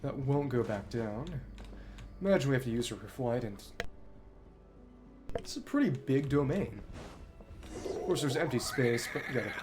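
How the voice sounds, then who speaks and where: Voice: medium pitch, lively intonation, moderate pace, long pauses, music in the background. A video game streamer of unclear sex commentating live on play, muttering asides and reading game text aloud. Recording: clear background noise, clicks.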